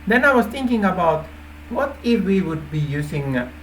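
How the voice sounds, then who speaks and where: A native Finnish man speaking English with a Finnish accent, informally explaining an idea in halting phrases with repeated words. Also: sits high, sing-song, a little hiss or hum, poor audio. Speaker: app developer presenting a feature idea.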